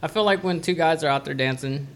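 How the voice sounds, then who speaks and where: A man's voice: fairly high pitch, quick pace, a bit bright, soft background music. A young man co-hosting a casual podcast chat, slangy and swearing, often restarting his sentences. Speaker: podcast co-host.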